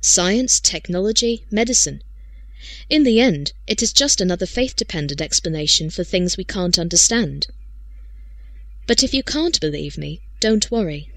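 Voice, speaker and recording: A woman's voice: lowish voice, animated, moderate pace, fairly bright tone, no echo. A woman reading first-person fiction as an audiobook storyteller.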